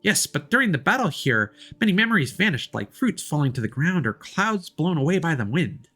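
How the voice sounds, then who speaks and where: Man doing an earnest little forest spirit, pitched up. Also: big pitch swings, fairly quick, sharp tone, soft background music, exaggerated voice. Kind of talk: voicing video game dialogue.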